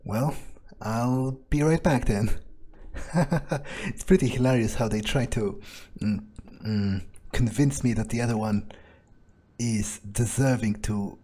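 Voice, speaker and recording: A man with a husky voice improvising in-character commentary on a game, casual and sweary as he thinks aloud. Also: medium pitch, slow, dark tone. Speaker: gamer playing in character.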